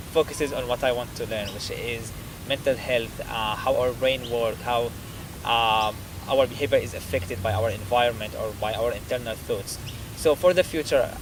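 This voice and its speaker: A young man from Syria, a student, answering interview questions thoughtfully, with some fillers and restarts. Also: medium pitch. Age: young adult. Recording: outdoor traffic noise behind. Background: street traffic.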